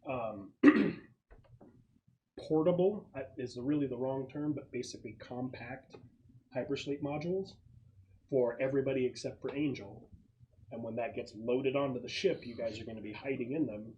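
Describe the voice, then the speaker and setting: A man's voice: medium pitch, moderate pace, darkish tone. A man acting as game master, casually explaining plans to players at a tabletop roleplaying game. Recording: muffled, slightly noisy group recording.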